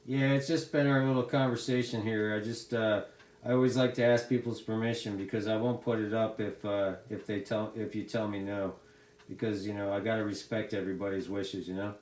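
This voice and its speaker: Low-voiced American man, echoey room. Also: level tone, fairly quick, noisy background. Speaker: CB radio hobbyist. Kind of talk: casual radio chat.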